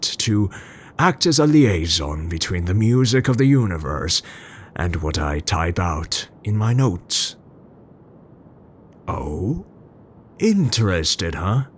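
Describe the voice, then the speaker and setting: A man performs as a gentle, brooding male scientist in an intimate ASMR roleplay. His speech is very slow and formal, full of hesitant pauses, and it rises and falls expressively. A faint low rumble sits behind the voice. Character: tender, scholarly Dutch male scientist, brooding but gentle.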